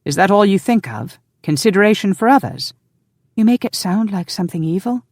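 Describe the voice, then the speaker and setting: A narrator voicing a headstrong young woman who leads a clan, loving, defiant and quick to plead or protest. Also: mid-range voice, dark tone, dry recording, studio quality, pitched above the performer's own voice, close to an everyday voice. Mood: earnest. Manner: pleading, indignant.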